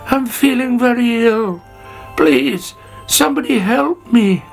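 A man voicing a greedy, cheeky young male caterpillar in a storybook reading, high and whiny. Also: very animated, slow, faint music behind, full character voice. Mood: frightened.

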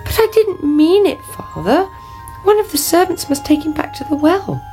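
A woman's voice: high voice, sing-song, moderate pace, warm tone, faint music behind, voice pushed up, low-quality recording. A woman voicing a young, anxious princess in a storybook, with a plaintive, pleading manner. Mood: frightened, pleading. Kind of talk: storybook character reading.

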